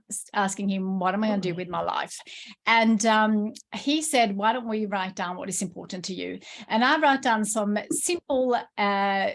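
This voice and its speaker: A woman from Sweden, a native Swedish speaker with a Swedish accent, chattily and enthusiastically leading an informal online workshop. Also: mid-range voice. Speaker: workshop presenter, entrepreneur and coach.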